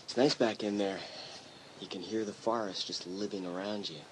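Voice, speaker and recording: A man's voice: steady tone, moderate pace, natural voice. A man playing a wry, laid-back teenage stepbrother in a dramatised audiobook, dry and teasing. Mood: low-key. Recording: loud background ambience and rumble.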